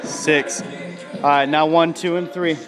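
A male personal trainer with a slightly high voice, cheering on his client and counting reps aloud. His voice rings with long reverb off the hard walls of a large gym. Music plays clearly behind him. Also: steady tone, measured pace. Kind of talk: coaching and commentary. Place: gym studio, indoors. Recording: camera audio in a large echoing gym with music.

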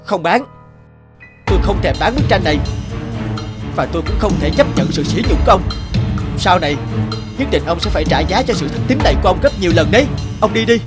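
Man acting a proud, high-pitched earnest painter. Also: expressive, fast, long pauses, loud music behind the voice. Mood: furious. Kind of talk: animated moral tale dubbing.

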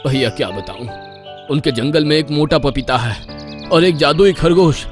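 A man's voice: fairly high pitch, moderate pace, faint music behind, natural voice. A man voicing a frightened male lion who was beaten, whining and fearful. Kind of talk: cartoon dubbing.

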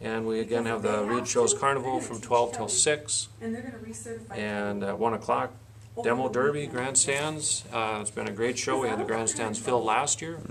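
A man in his forties with a gravelly, fry-edged voice talks through a list of events in an interview. His delivery is plain and halting. He is picked up by a camera mic in a meeting room, with chatter behind him. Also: medium pitch, animated. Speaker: county fair board president, interview guest. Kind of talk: reading out an event schedule in a radio interview. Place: small indoor meeting room, seated at a table. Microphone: camcorder a short distance in front.

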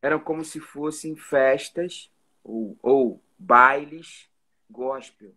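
A man's voice: medium pitch, slow. A man in his forties with a Carioca accent from Rio de Janeiro, a musician guest chatting casually about his life, often tagging his sentences with "né?". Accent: Carioca Portuguese. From Rio de Janeiro, Brazil. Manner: relaxed storytelling with many "né?" tags. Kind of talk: casual live video chat. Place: indoor room at home. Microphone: phone or webcam on a video call.

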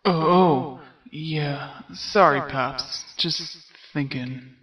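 A man voicing a world-weary, sardonic skeleton brother in a comic dub, with a hesitant, deadpan drawl. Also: on the high side, extremely slow, recorded from a distance, low-quality recording. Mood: weary, fond. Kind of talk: comic dub character acting.

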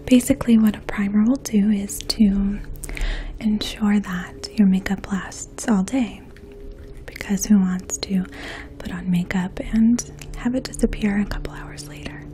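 A woman in her twenties speaking in a soft, hushed, breathy voice, gently explaining things as a makeup artist in an ASMR role play. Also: medium pitch, measured pace. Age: 20s. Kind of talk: ASMR role play, addressing the listener as a client. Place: small indoor room. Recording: faint steady outdoor hum. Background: distant lawn mower.

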